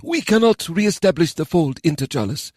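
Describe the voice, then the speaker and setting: A man's voice: fairly high pitch, expressive, moderate pace, a little mellow, no room sound, studio quality, voice pushed up. A man reading the part of a nervous male elven official giving a formal report. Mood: uneasy. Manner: formal, throat-clearing.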